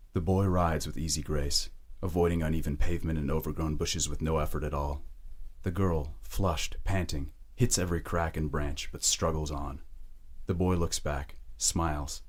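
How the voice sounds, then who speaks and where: Very deep-voiced male audiobook narrator. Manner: measured, deliberate delivery in short phrases. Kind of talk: dramatic scripted narration of a dark romance.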